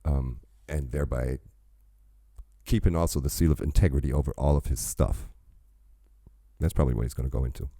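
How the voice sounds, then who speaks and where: A man's voice: a bass voice, fairly even intonation, a little fast, pauses often, warm tone, no echo, clean studio sound. A man hosting a reaction channel, rambling casually with chatty asides while sipping a drink.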